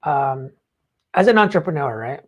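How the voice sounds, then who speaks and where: Co-host just chatting, blunt and opinionated, kinda halting, medium pitch, swears a bit. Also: animated. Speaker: talk show co-host. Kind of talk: casual opinionated chat.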